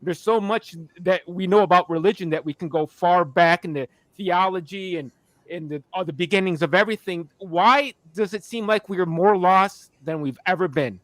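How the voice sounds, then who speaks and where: A man's voice: high-pitched, normal speed, a bit bright, dry recording, poor audio. A man in casual podcast chat, rambling as he searches for his question, with casual swearing.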